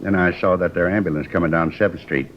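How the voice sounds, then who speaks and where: An American actor playing a chatty, eager newspaper vendor as a shaken eyewitness in 1950s radio drama style. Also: male voice, low voice, fairly even intonation, fast, natural voice. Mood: excited, eager. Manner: rambling, repetitive, folksy. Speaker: supporting actor. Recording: dull, noisy old radio recording.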